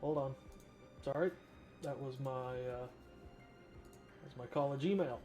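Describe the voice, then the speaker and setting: Young male streamer, casual play-by-play, joking and excitable, soft game music in the background. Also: mid-range voice, slow, dull audio. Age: young adult. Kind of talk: casual gameplay commentary.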